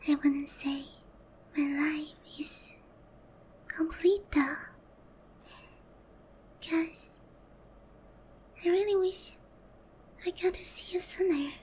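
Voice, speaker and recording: Woman playing a very high, whispery gentle demon lady. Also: a little hiss or hum. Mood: sad, resigned. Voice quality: soft, breathy whisper. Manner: slow, tender, hesitant, with long pauses. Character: gentle, remorseful young female demon lord. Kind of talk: soft whispered ASMR roleplay.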